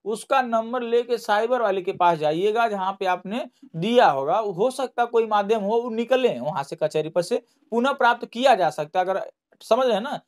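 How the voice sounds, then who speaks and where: A man giving an informal explainer to students, repeating himself and reassuring them as he goes. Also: sits high. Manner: repetitive, reassuring. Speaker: online exam-update presenter.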